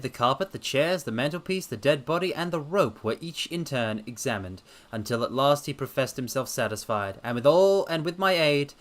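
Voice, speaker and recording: A man's voice: medium pitch, a bit bright. A man reading a classic detective story aloud as dramatic narration.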